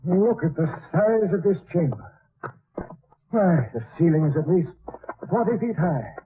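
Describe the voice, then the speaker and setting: On a tinny, band-limited old radio recording, a learned professor on an expedition. He speaks in a fairly high male voice, weighing each thought in a measured, scholarly manner, in the style of 1940s radio drama. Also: expressive, slight background noise. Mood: curious, absorbed. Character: learned alien professor, careful, pondering scientist.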